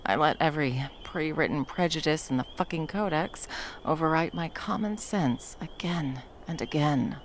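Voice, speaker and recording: A woman's voice: low voice, moderate pace, a little hiss or hum. A woman voicing a centuries-old female monster hunter in an ASMR roleplay. She talks bluntly and swears a lot, then drops into tender murmurs. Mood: soft, tender.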